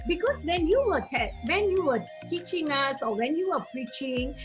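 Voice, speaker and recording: A woman's voice: sits high, expressive, moderate pace, soft background music. A woman evangelist from Singapore giving earnest teaching and testimony, repeating phrases for emphasis.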